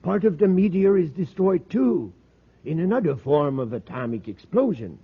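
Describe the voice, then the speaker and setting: A tinny, vintage radio recording carries an earnest middle-aged professor. His voice is husky and rather high, scholarly and excitable, with small hesitations as he explains. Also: normal speed, exaggerated voice. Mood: intense, explanatory. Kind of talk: 1950s radio drama acting.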